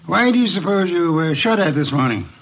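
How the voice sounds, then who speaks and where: An actor playing a huge, imperious, lazy genius detective in a vintage radio drama, pompous, formal and curt. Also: male voice, on the high side, lively intonation, brisk, a bit bright, rough recording. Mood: curt, probing.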